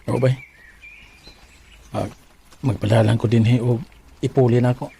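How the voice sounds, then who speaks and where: An actor with a Cebuano Filipino accent playing an earnest, easily hurt, lovelorn worker in a Bisaya radio drama. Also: male voice, mid-range voice, little pitch movement, moderate pace, vocal fry, mellow, slight background noise, lightly acted. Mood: resigned.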